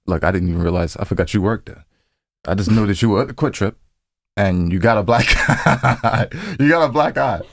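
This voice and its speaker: A man's voice: on the low side, a little fast, no echo. A man co-hosting a podcast in casual, teasing banter, often repeating himself. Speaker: podcast co-host.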